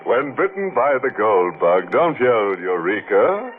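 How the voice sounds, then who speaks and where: An actor playing a ghoulish horror host in 1940s radio, mocking and punning in a theatrical, tongue-in-cheek way. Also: male voice, fairly low pitch, sing-song, a little slow, phone-quality sound, music in the background. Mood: gleefully macabre. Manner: macabre, punning, theatrical, chuckling. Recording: noisy, band-limited 1940s radio recording.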